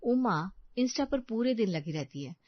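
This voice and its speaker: A woman's voice: on the high side, very animated, unhurried, no room sound, dull audio. A woman giving a scripted narration of a story.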